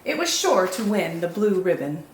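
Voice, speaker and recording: A woman reading picture books aloud as a children's storytime host, expressive and deliberate, with drawn-out dramatic emphasis. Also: on the low side. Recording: echoey room, faint background noise.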